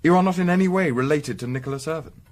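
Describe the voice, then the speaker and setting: An actor playing a composed gentleman investigator in a Victorian radio drama, speaking formally and measuredly. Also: on the high side, quick pace, lightly acted. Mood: probing, cool. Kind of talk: period radio drama acting.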